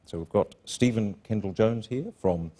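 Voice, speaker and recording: A man's voice: lowish voice, moderate pace, warm tone. A man with a New Zealand accent hosting a conference stage interview, chatty and jokey as he reminisces and introduces his guest. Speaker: panel host.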